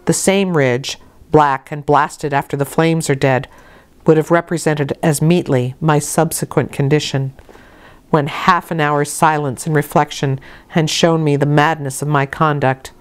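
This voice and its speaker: Female audiobook narrator for classic fiction. Smooth, resonant, lower register, deliberate and expressive. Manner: measured, deliberate phrasing. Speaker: audiobook reader. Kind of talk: expressive literary storybook narration.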